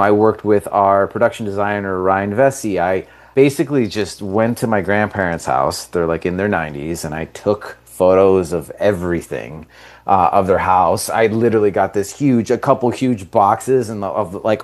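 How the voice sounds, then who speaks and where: A man's voice: on the low side, darkish tone. A Canadian man whose first language is Italian, a film director chatting casually as a radio guest, rambling with many fillers.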